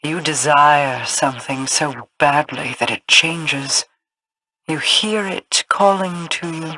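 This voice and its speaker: An actress playing a regal, imperious, cold queen in a video game, speaking formally and with measured haughtiness. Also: deep voice, sharp tone, heavily acted, low-quality recording.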